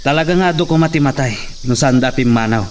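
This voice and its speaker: A self-justifying, defiant henchman in an Ilocano radio drama, acted by a man. He speaks at a medium pitch with an Ilocano accent, a bit far from the mic with faint noise. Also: quick pace, close to an everyday voice.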